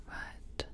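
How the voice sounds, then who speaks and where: A woman speaking in a breathy, mostly whispered voice, gently murmuring and hesitant, as a makeup artist in a personal-attention ASMR roleplay.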